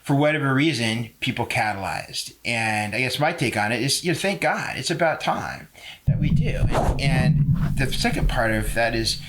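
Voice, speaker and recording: A man's voice: mid-range voice, animated, moderate pace, fairly bright tone, poor audio. A man in a mid-range voice speaks as a guest on a conversational podcast. He sounds earnest and articulate, building his argument point by point. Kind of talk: conversational interview.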